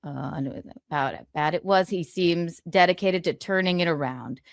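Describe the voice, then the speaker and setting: A woman's voice: on the low side, moderate pace, creaky, no room sound, studio quality. A woman livestreaming, switching between casual chat and reading book passages aloud, with gloating, sarcastic, theatrical emphasis. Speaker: streamer.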